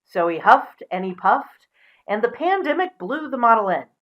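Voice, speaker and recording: A woman presenting as a storyteller, mixing expressive storybook reading with casual asides. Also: fairly high pitch, normal speed, lo-fi sound.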